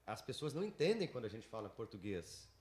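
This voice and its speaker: A man telling a lively anecdote on a school podcast. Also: lowish voice, fast talker, roomy sound. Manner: lively storytelling, animated quoting. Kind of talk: anecdotal casual chat.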